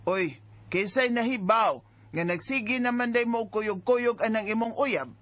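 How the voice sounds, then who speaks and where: A woman's voice: a little high, fairly bright tone. A woman acting a strict, worried middle-aged mother who scolds with indignant exclamations in a Bisaya radio drama.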